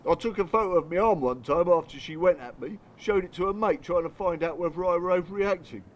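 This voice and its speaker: A man's voice: high voice, fast talker, highs cut off, natural voice. An actor playing a bitter, working-class English father in a radio drama, confessing his hurt in blunt, colloquial, strained speech. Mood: bitter, upset. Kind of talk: radio drama dialogue and monologue.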